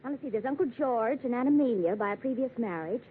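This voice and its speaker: A woman's voice: on the high side, expressive, quick pace, natural voice. A woman acting as a scatterbrained, devoted young American secretary in a 1940s radio drama, gushing and girlish. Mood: apologetic, rambling. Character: ditzy, devoted, rambling young female secretary. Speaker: radio actress playing a secretary. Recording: noisy, tinny old radio recording.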